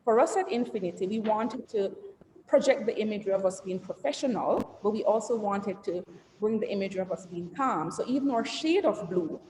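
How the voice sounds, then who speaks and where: Woman CEO leading an online branding session, chatty and interactive, middle-pitched, echoing room with long reverb. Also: expressive, a little fast, darkish tone. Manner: conversational, asks the audience and reads the chat aloud, trails lists with "et cetera". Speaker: company CEO giving a branding presentation. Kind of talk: interactive online presentation to entrepreneurs. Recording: roomy reverberant sound with faint noise.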